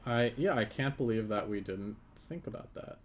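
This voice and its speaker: A man's voice: fairly low pitch, lively intonation, moderate pace, slight background noise. A man who works as a 3D modeller, explaining things in a thoughtful, hesitant way on a casual live stream. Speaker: game developer and 3D modeller.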